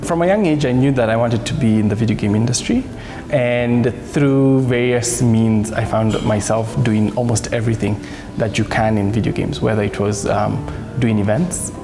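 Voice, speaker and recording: A man's voice: medium pitch, moderate pace, music in the background. A man, telling about himself in a profile interview. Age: 30s. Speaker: interviewee, video game company CEO.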